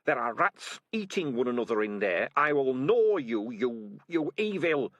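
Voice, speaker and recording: A man's voice: big pitch swings, a little slow, fairly bright tone, no echo, lo-fi sound. A man voicing a small talking rat who screams and hisses in fury. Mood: hissing. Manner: shrill screaming, hissing.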